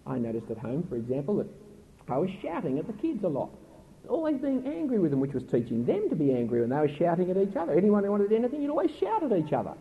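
A middle-aged man preaching a sermon in an earnest, emphatic way with a naturally loud voice, asking his listeners pointed questions. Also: high voice, wildly swooping pitch, fast talker. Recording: tinny phone-like recording with faint noise.

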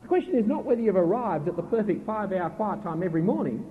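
A middle-aged man preaching a sermon in an earnest, emphatic way with a naturally loud voice, asking his listeners pointed questions. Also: sits high, animated, quick pace. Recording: tinny phone-like recording with faint noise.

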